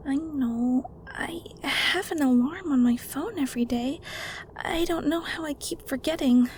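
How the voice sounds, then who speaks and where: Woman acting a high-voiced, meek young daughter. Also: measured pace, a little mellow, a little hiss or hum, low-quality recording. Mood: weary. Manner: hesitant, flustered, defensive. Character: meek, nervous, obedient young adult daughter, secretly smitten. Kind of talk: dramatic audio roleplay.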